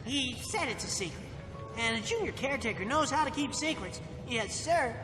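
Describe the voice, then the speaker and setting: A man's voice: very high voice, expressive, moderate pace, big-room sound. An actor voicing a fussy, nervous, eager-to-please little male caretaker robot, with game music behind.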